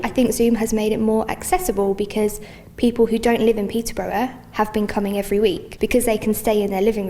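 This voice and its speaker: A woman's voice: medium pitch, warm tone. A woman who leads dance sessions, explaining things in a documentary interview.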